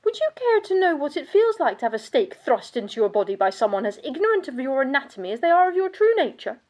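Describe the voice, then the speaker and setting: An actress playing a bitter, world-weary aristocratic vampire countess in a theatrical monologue, pompous and sardonic in manner. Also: female voice, high voice, big pitch swings, fast, darkish tone. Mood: disdainful, wry. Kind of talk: scripted dramatic monologue.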